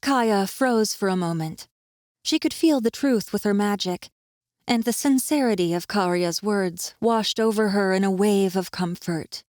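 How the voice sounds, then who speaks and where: A woman reads fantasy fiction as an audiobook narrator. Her pitch is in the middle range for a woman, and the delivery is scripted storytelling. The sound is very dry, with hardly any room in it. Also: unhurried, a little mellow, dry recording.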